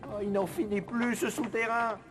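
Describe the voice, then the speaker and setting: A woman's voice: fairly high pitch, little pitch movement, normal speed, music in the background, close to an everyday voice, poor audio. A woman voicing a timid young boy sidekick in a French cartoon dub, fretful and stammering. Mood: anxious.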